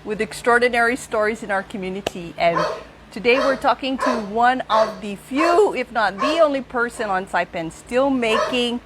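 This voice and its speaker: A woman's voice: fairly high pitch, expressive, moderate pace, very noisy. A woman hosting an interview at a guest's home, upbeat and friendly, asking questions. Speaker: interviewer and host.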